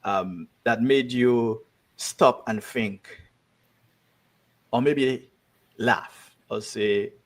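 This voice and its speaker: A man's voice: medium pitch, extremely slow, pauses often. A man interviewing an author over a video call, hesitant and full of ums and restarts. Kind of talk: online interview. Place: home in Texas.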